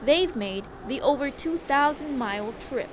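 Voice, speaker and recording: A woman news reporter narrating a story in measured voice-over. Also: high voice, animated, background noise.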